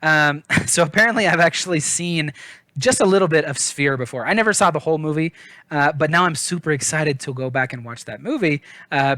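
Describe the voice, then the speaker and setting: A man's voice: on the high side, sharp tone, dry recording. A man giving a casual book review in an informal, chatty, self-deprecating way.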